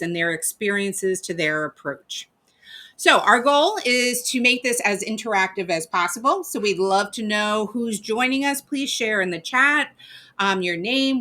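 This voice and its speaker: A woman hosts a live online leadership series and opens it with an upbeat, rehearsed introduction. Her voice is bright and forward, and she sits at a middle pitch for a woman. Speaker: host. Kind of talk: livestream interview introduction.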